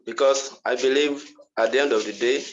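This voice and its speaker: A man answering a lecturer's question as a research student, with measured, formal phrasing, over muffled online call audio. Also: medium pitch, fairly even intonation. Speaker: research student, seminar participant.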